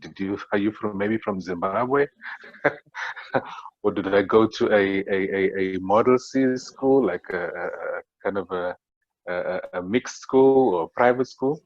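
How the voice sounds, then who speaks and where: South African male panelist, low-pitched, hesitant, lo-fi dry call audio. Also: fairly even intonation, no room sound. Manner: halting, many fillers and restarts. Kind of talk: reflective panel discussion. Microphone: video call.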